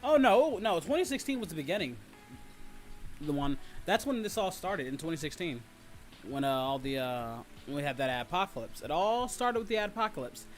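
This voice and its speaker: A man's voice: on the high side, big pitch swings, moderate pace. Slangy man livestreaming over music. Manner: slangy, rambling, teasing. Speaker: livestream host and content creator. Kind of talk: casual livestream chat, reading and answering viewers. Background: music, rain ambience.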